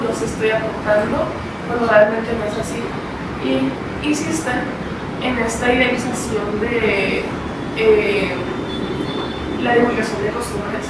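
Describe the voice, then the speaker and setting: A young woman from Colombia with a Bogotá accent, giving a reflective, halting remark in a discussion as an audience member. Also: on the high side. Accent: Bogotá Spanish. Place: event hall. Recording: echoey hall from far off, loud noise.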